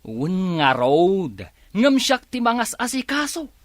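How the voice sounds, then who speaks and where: A man's voice: sits high, very animated, takes it slowly, no echo, studio quality, exaggerated voice. A man playing a stubborn, self-righteous husband in a radio drama, argumentative and boastful. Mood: boastful, indignant.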